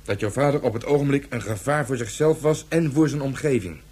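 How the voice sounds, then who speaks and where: An actor playing a gruff, casual man in a radio drama, speaking colloquially with a husky voice. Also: mid-range voice, fairly quick, darkish tone, natural voice. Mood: gruff, reassuring.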